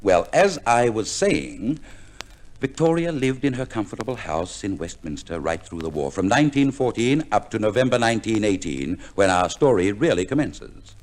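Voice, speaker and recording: An actor playing a courteous, long-suffering storyteller in a 1950s radio comedy, speaking in a formal, measured way and easily flustered. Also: male voice, lowish voice, expressive, close to an everyday voice. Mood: polite, patient.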